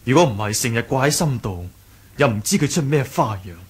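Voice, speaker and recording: An actor playing an earnest, courteous young sect leader in a Cantonese radio drama, speaking in a measured, polite way with a Hong Kong accent. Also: male voice, medium pitch, big pitch swings, breathy edge.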